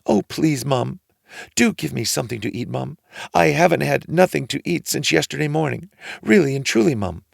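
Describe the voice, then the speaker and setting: Man as a polite, cheeky, higher-pitched storybook boy. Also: animated, normal speed, vocal fry, dry recording, clean studio sound, heavily acted. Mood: pleading. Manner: pleading, polite, lilting. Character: polite, cheeky, hungry young boy, bold as brass.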